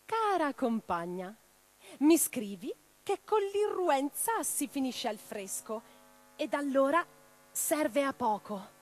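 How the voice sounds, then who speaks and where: A woman playing an imprisoned, defiant revolutionary in a radio theatre monologue, reading her letters with theatrical, deliberate phrasing. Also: high-pitched, very animated, heavily acted. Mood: indignant, impassioned. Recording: clearly noisy track with mains hum.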